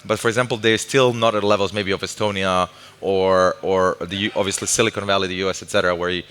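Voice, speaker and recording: A man speaks quickly as a guest on a live stage, his low, bright voice ringing in a long hall echo. He talks loosely, restarting often and joking at his own expense. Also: fairly even intonation, slight background noise. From Croatia. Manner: fast, loose, self-mocking, many restarts. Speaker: guest, tech company developer lead.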